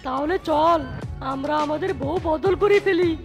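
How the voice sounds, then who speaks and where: A woman voicing a fed-up animal husband in a cartoon, complaining in a dramatic, lamenting way. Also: moderate pace. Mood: plaintive. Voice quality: high-pitched cartoon voice. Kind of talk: cartoon story acting. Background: music.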